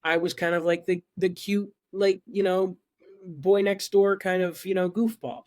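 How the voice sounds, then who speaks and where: Young guy with a kinda high voice, stumbles and repeats himself, dry close sound. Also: little pitch movement, a little mellow, no room sound. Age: 20s. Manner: halting, repeats words, chuckles often. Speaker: podcast guest, actor. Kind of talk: casual podcast chat.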